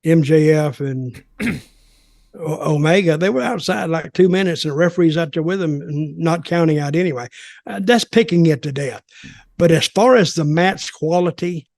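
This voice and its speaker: A man's voice: on the high side, moderate pace, creaky, darkish tone, no echo, clean studio sound. An older man in casual, rambling, opinionated talk about wrestling as a podcast commentator.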